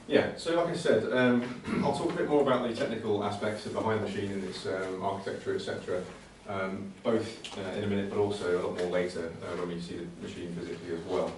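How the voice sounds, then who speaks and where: Low, even-voiced man in a very echoey room. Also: fast, hardly any pauses. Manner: hesitant, conversational, repeats words. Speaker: presenter at a computing conservation talk. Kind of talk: informal technical presentation with slides. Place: indoor talk venue. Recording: very echoey room, distant mic, faint noise.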